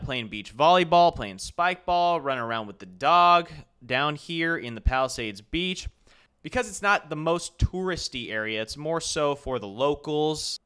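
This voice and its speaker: A man's voice: mid-range voice, pushed and bright, clear, resonant tone. A young man, a real estate agent, talking loosely through a map tour of a neighborhood with casual fillers and restarts.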